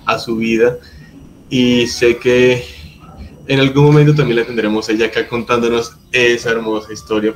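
Young guy host on a video call at home, friendly, lowish voice. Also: slight background noise, poor audio. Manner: friendly, upbeat, with filler words. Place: home room.

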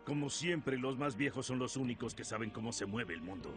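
A man's voice: medium pitch, animated, brisk, natural voice. A man playing an arrogant, cruel bandit boss in an anime dub, threatening and mocking. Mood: menacing. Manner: threatening, mocking, bursts into cackling and shouting. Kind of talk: Spanish anime dub acting. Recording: loud music behind the voice.

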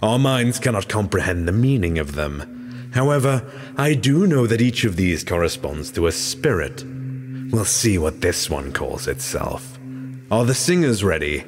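A man plays a solemn, pious king in an audio drama. His low voice swoops grandly as he speaks with formal authority. Loud music sits behind him. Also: very animated, measured pace. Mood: solemn, commanding.

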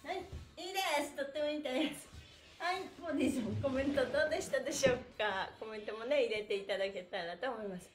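A middle-aged woman leading a live stretching class in a cheerful, encouraging, animated way. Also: high voice, fast talker, over music. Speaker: stretching instructor. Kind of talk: live-streamed exercise class with counted chants. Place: small indoor room with bare walls. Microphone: camera a few metres away. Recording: echoey room, mic at a distance.

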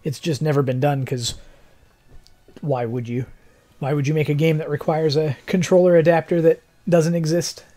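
A young man with a fairly high-pitched, mellow voice that sounds a little hoarse. He talks like a game streamer chatting to viewers, easygoing and rambling, with a technical bent. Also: fairly even intonation, moderate pace. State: slightly hoarse. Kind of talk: casual livestream chat. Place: living room, seated in armchair indoors.

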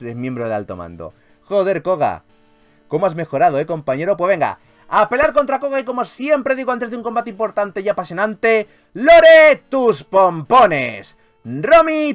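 A man with a Castilian accent from Spain giving excitable, sweary let's play commentary as a gaming YouTuber. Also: on the high side. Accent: Castilian Spanish from Spain. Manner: animated, expressive, swears freely.

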